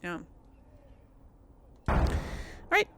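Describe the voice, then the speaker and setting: A woman's voice: drawn-out words, noisy background. A woman hosting a gaming video, giving casual commentary and thinking aloud informally as she plays.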